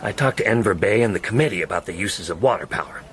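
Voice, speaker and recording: An actor playing a shrewd, folksy fixer and spymaster, in a radio drama. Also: male voice, low voice, lively intonation, fast talker, fairly bright tone, slight background noise, poor audio. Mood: wry.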